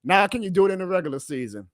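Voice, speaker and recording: A man hosting a live sports reaction stream, excitable and emphatic, his voice going hoarse. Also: high voice, fast, dry recording, studio quality. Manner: excitable, emphatic, plugs merch, reads chat aloud. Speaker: sports fan livestream host.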